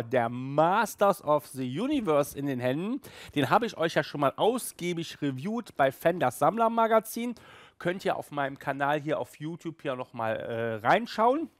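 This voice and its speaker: Chatty man with a highish voice. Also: no echo. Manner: chatty, colloquial. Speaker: host of an audio drama review show. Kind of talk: casual video review to camera. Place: small room with sofa and shelves, indoors.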